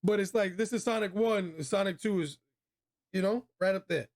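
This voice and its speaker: A man's voice: high voice, moderate pace, darkish tone. A man hosting a casual movie review chat, speaking in a slangy, emphatic, opinionated way. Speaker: host of a video game movie review show.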